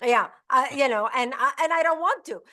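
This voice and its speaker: A woman's voice: high voice, lively intonation, brisk, pushed and bright. A woman with a Lebanese accent, a guest in an interview, talking emphatically and at length. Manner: emphatic, talkative, switches between English and Arabic. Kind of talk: casual interview conversation.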